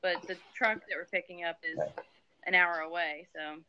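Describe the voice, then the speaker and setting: A woman's voice: on the low side, steady tone, moderate pace, muffled recording, a little hiss or hum. An American woman chatting casually on an online video call. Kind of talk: casual chat.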